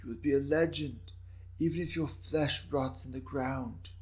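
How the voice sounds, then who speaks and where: A man voicing a shrewd, courteous old Japanese daimyo with measured, formal speech in a dramatic reading of historical fiction. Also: medium pitch, pitched above the performer's own voice, close to an everyday voice. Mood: solemn. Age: older.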